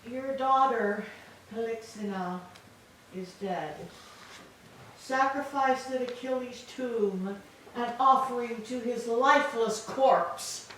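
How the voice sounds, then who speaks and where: A woman performs a young captive widow in a Greek tragedy, noble and full of despair. The voice is fairly high and slow, with formal, measured phrasing. It rings in a very echoey hall, heard from a distance. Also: a bit bright. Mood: sombre. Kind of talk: staged reading of Greek tragedy. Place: church, staged reading. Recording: echoey hall, distant mic, faint noise.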